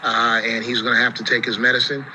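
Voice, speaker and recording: A man's voice: mid-range voice, little pitch movement, quick pace, muffled recording, background noise. An American man giving conversational legal commentary as an attorney, explaining things with frequent asides of "you know".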